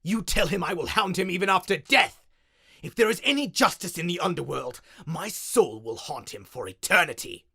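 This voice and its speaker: A man's voice: high voice, animated, moderate pace, creaky, sharp tone, slightly rough, no echo, clean studio sound, full character voice. A man voicing a proud, vengeful old king with a growling, imperious manner in an audio story. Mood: furious, demanding.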